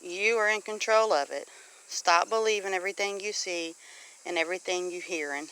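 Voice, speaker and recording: A woman's voice: on the low side, normal speed, a bit bright, smooth, rough recording. A middle-aged woman with a Southern US accent gives an informal, preachy monologue to viewers, earnest and exhorting, repeating phrases for emphasis. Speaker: homesteading vlogger. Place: outdoors on a homestead.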